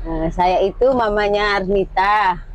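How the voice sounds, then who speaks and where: A woman's voice: medium pitch, fairly even intonation, measured pace. An older woman from North Sumatra telling her family history in a casual chat with her daughter, in a meandering storytelling way. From North Sumatra, Indonesia. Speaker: interviewee, mother of the host. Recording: slightly dull lo-fi sound.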